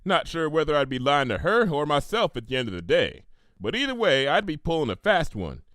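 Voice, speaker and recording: A man's voice: on the high side, big pitch swings, brisk, fairly bright tone, dry recording, clean studio sound, voice pushed up. Man voicing a proud Southern farm-girl cartoon pony. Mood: defiant. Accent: Southern US country. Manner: folksy, drawling, emphatic. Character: proud, stubborn female country farm pony.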